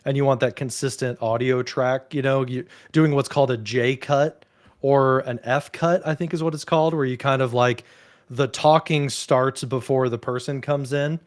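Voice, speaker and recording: Chatty male host, casual and relaxed. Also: medium pitch, normal speed. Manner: relaxed, chatty, peppered with "like" and "you know". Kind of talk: casual chat on a video call.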